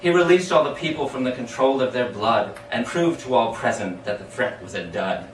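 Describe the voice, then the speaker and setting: A man giving an expressive, dramatic recital of storytelling verse in a sing-song rhyming rhythm. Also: mid-range voice, normal speed. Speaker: amateur poet reading their own poem. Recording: echoey room, distant mic, background hiss.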